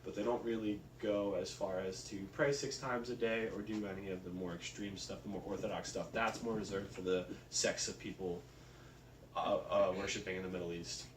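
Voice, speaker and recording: A man presents a research report informally, with loose, casual phrasing. His voice sits a bit low. He is recorded at a distance in an echoey room with some faint noise behind him. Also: fairly bright tone. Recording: roomy, distant mic, faint background noise.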